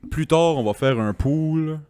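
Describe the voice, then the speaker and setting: A man's voice: on the high side, unhurried, dark tone, clear, resonant tone, dry recording, clean studio sound, faint music behind. A man with a Quebec French accent hosting a podcast in loose, joking, casual chat. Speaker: podcast host. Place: podcast studio.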